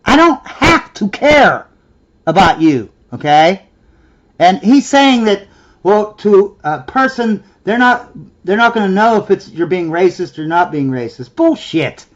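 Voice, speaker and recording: Sarcastic man, high lively voice, scornful rant, dull lo-fi sound. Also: measured pace. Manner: sarcastic, scornful, mimics in a whiny voice. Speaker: reaction video host. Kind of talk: mocking reaction commentary.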